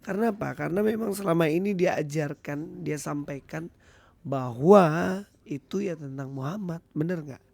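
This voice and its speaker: A hoarse man with an Indonesian accent, giving casual commentary to his viewers as a video host. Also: on the high side, moderate pace, a little mellow, no room sound. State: hoarse, recovering from illness. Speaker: YouTube host.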